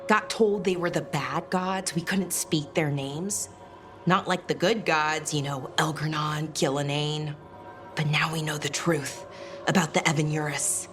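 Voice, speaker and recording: An actress playing an earnest, curious young elven scholar in a video game, halting as she thinks aloud. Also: low voice, a bit bright. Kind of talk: naturalistic video game acting. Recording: music behind the voice.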